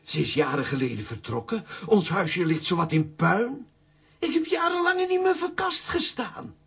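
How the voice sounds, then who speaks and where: An actor voicing a talking old coffee pot in a children's audio drama, cheeky and wheedling, with a squeaky, husky voice. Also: very animated, moderate pace, fairly bright tone, heavily acted. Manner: wheedling, whiny, theatrical.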